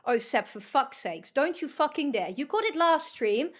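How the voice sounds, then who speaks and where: A woman's voice: high-pitched, big pitch swings, moderate pace. A woman with a Dutch accent chatting casually as she livestreams a game, with a blunt, sweary, teasing manner. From Netherlands. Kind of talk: casual chat while gaming.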